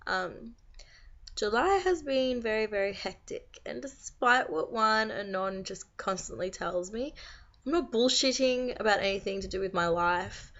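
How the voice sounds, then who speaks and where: A young Australian woman with a croaky voice from being sick, chatting to camera in a tired, rambling, venting way. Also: mid-range voice, lively intonation, measured pace, fairly bright tone. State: croaky from a long cold. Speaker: vlogger. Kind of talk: casual chat to camera. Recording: slightly dull lo-fi recording.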